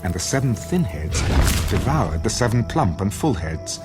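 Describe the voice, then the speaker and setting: A low male voice narrates scripture with a very lively pitch range. The telling is solemn and dramatic, with music clearly underneath. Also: normal speed.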